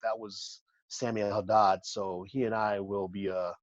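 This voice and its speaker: A man's voice: on the low side, level tone, measured pace, no room sound. Laid-back man on a muffled call line. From Texas. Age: 40s. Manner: laid-back, laughs easily, says man a lot. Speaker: bodybuilder guest. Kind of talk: casual interview chat.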